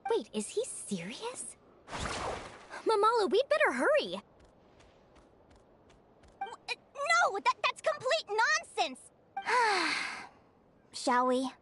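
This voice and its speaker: A woman voicing a small, excitable, childlike game companion who is eager and easily flustered. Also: extremely high pitch, slow pace, pauses often, slight background noise, full character voice. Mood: flustered, chatty. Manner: exclamatory, eager. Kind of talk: video game voice acting.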